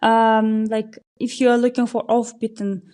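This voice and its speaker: Woman from kyrgyzstan, tour operator guest, highish mellow voice, lots of uhs, chatty explaining. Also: moderate pace. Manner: explanatory, many fillers, steady flow. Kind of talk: conversational interview answers. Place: podcast recording.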